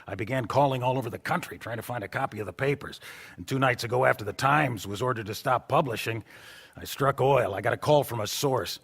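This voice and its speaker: A man's voice: mid-range voice, a bit bright. An actor playing a male newspaper reporter who tells his story with relish but keeps his source guarded, in a radio drama. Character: male reporter bringing secret papers.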